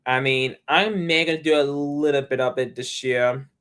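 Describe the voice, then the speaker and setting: Young male streamer, mid pitch, smooth tone, loose rambling delivery. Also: fairly even intonation, moderate pace, a bit bright. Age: young adult. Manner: rambling, restarts sentences often. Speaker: livestreamer. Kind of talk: casual livestream chat.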